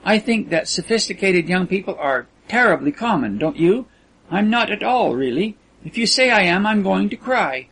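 Man voicing a sweet prim rich girl, pitched up. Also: lively intonation, moderate pace, fairly bright tone, slight background noise, rough recording. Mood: distressed, insistent. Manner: earnest, girlish, artless chatter.

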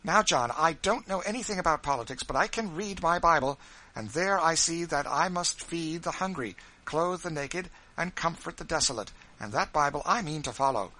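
A man reading aloud, voicing a timid, gentle wife roused to righteous indignation, pleading and insistent. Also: medium pitch, animated, moderate pace, pitched above the performer's own voice, poor audio. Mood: indignant. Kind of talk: audiobook character reading.

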